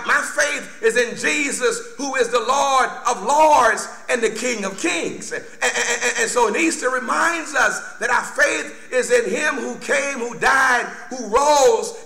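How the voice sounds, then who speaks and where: High, forceful preacher man. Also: expressive, unhurried, creaky, few pauses. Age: middle-aged. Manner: emphatic, exclamatory preaching with repeated phrases. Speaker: pastor. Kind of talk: fervent Baptist Easter sermon. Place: church sanctuary at a pulpit, indoors. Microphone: microphone on boom stand at pulpit. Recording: roomy, echoing church sound.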